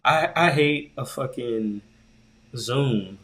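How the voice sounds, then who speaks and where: A man co-hosting a podcast in casual chat, slangy and profane, telling things in an animated way and repeating words for emphasis. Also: mid-range voice, slow. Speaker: podcast co-host.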